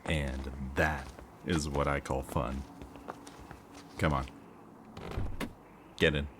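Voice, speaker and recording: A man playing a smug, taunting kidnapper villain in an audio roleplay, with a mocking, theatrically drawn-out delivery. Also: extremely low pitch, little pitch movement, noisy background, exaggerated voice. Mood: gloating, amused. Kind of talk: scripted audio roleplay, ASMR.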